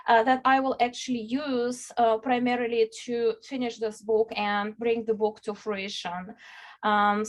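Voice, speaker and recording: A woman from Ukraine giving an academic talk over a video call, earnest, with many filler words. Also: on the high side. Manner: earnest, with frequent uh and you know fillers. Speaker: lecturer.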